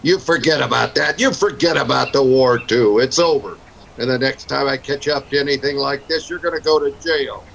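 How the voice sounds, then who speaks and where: A man's voice: medium pitch, normal speed, gravelly, a bit bright, muffled recording, a little hiss or hum. A man playing a stern, plain-spoken Old West town marshal in a radio Western. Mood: stern, commanding. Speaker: voice actor in radio show recreation.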